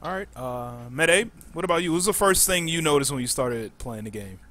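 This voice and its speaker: A man hosting a podcast casually, halting often as he thinks aloud. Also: on the high side, lively intonation, sharp tone. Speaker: podcast host. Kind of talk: casual, loosely structured hosting.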